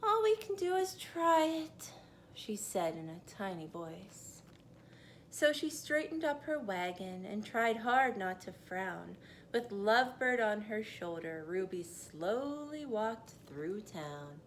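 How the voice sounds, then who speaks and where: Slow, gentle woman reading a picture book. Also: mid-range voice, expressive, slight background noise. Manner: slow, gentle, rhyming storytelling cadence. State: tired. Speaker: mother reading aloud for children's classes. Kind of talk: picture-book read-aloud.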